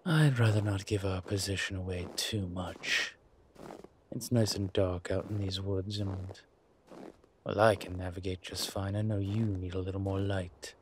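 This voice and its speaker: A man playing a haughty, wry vampire gentleman in a roleplay audio drama, speaking formally and with refinement. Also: sits low, steady tone, slight background noise. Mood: wry, cautious. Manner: refined, formal, wry phrasing with hesitant pauses.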